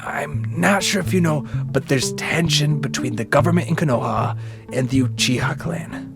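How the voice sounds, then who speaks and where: A man's voice: fairly high pitch, moderate pace, over loud music, close to an everyday voice. A man playing a cautious, level-headed ninja commander in a fan audio drama, reasoning things out as he explains. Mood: grave, worried.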